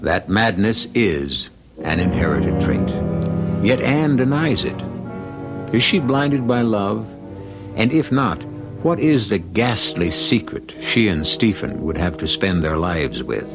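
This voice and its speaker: A man's voice: lowish voice, expressive, slow pace. A man hosting an old radio mystery anthology, narrating in a grave, theatrical and ominous manner. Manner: theatrical, rhetorical, portentous. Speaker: host narrator. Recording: muffled old radio recording with music.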